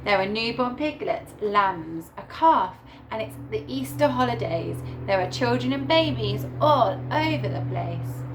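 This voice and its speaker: Unhurried woman reading a children's story. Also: a little high, background noise. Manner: deliberate, animated storytelling with emphasised words. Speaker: primary school teacher reading to her class. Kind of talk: expressive children's picture book read-aloud.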